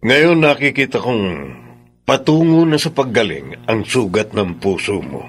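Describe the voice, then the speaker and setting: A man's voice: mid-range voice, animated, unhurried, slightly rough. A man playing a kindly, earnest family attorney in a Filipino radio drama. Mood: warm, encouraging.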